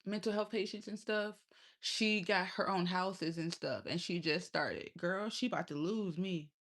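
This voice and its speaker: A woman's voice: medium pitch, fairly bright tone. A woman with a lisp, hosting a casual livestream. She chats loosely with her viewers, swears freely and often laughs. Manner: loose, profane and laughing, talks straight to chat. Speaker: livestream host.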